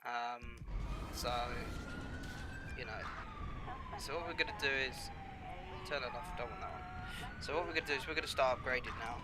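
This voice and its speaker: A man's voice: mid-range voice, moderate pace, pauses often, over loud music. A man with a cold and a slightly nasal voice, giving casual, rambling gameplay commentary full of ums and you-knows. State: has a cold, a bit nasal.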